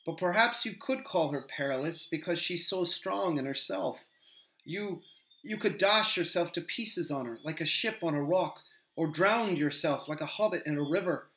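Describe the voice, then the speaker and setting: Loyal humble hobbit servant, eager and rustic, pitched up, voiced by a man, roomy echo. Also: moderate pace, pushed and bright. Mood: earnest. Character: humble cheerful male hobbit gardener, loyal.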